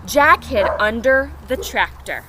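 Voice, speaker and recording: Slow-paced woman reading to young children. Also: fairly high pitch, expressive. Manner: simple phrasing, asks children questions. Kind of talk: storybook read-aloud and chat for small children. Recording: clear background noise.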